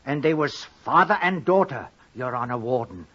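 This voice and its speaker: An actor playing a wily condemned thief, wheedling and scheming in a raspy voice, in a 1950s radio drama. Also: male voice, mid-range voice, lively intonation, normal speed, sharp tone. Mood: eager pleading. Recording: muffled vintage 1950s radio recording.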